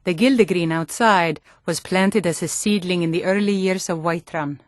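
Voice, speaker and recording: Actress as sturdy Nord woman, fantasy game dialogue, lowish voice, earnest, deliberate, dry close recording. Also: no room sound, natural voice.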